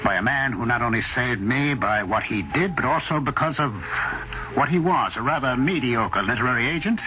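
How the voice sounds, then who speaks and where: A man's voice: mid-range voice, expressive, normal speed, natural voice, poor audio. A man acting in a radio suspense drama, playing a brooding writer who grows convinced of his destiny, musing intensely in dramatic questions. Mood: grandiose, intense. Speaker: actor and first-person narrator. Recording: old radio drama with music underneath.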